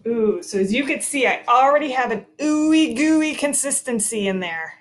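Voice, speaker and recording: A woman's voice: sits high, expressive, normal speed. A woman in her thirties, a teacher, giving a cheerful step-by-step cooking demo for children from her home kitchen, picked up by a laptop camera across the counter. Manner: cheerful, clear, instructive. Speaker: teacher hosting a kids' cooking video.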